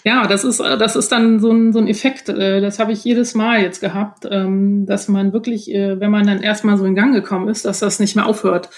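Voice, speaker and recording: A woman's voice: medium pitch, fairly even intonation, brisk, hardly any pauses. A woman speaking as a guest in a casual interview, explaining her experiences hesitantly and often laughing as she goes. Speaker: podcast guest, author.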